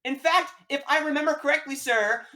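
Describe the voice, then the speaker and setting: A man's voice: very high voice, brisk, sharp tone, voice pushed up. A man voicing a fussy woman customer who insists on returning an item. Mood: insistent.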